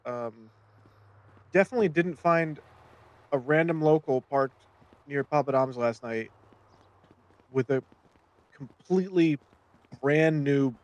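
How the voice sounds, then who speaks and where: A man's voice: a little high, slow pace, long pauses. A man chatting loosely on a voice call, rambling and casually swearing. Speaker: fellow roleplayer on a call. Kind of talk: casual chat.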